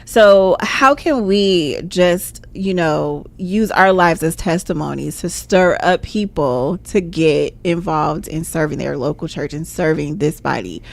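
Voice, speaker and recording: Woman, early 40s, lower voice, warm-hearted encourager asking questions. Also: a little slow, creaky, few pauses. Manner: encouraging, laughs easily, asks questions. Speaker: co-host. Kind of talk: casual chat.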